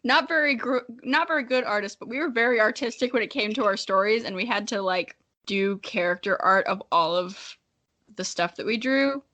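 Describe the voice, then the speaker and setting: A woman's voice: fairly high pitch, normal speed, pushed and bright. A young adult woman co-hosting a podcast, reading a story dramatically and chatting in a giggly, self-mocking way. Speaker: podcast co-host. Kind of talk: dramatic reading with casual comic commentary.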